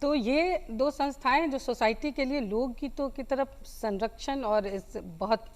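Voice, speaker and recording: A woman from Bihar speaks as a folk singer and interview guest. Her voice is very high, and she takes her time, moving at a markedly slow pace. She phrases things in a reflective, halting way, conversational throughout.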